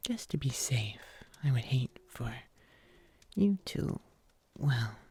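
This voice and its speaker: A man playing a gentle, solicitous huntsman healer in an ASMR roleplay, in a soft whisper with careful pauses. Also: mid-range voice, sing-song, slightly rough, full character voice. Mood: shy, gentle. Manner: slow, hesitant, careful delivery with long pauses. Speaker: ASMR performer.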